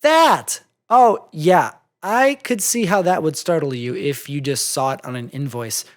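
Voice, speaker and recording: A story reader voicing an excitable, anxious, bookish female cartoon pony who stammers in disbelief. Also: medium pitch, unhurried, lightly acted. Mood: startled. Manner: stammering, incredulous, squealing outbursts.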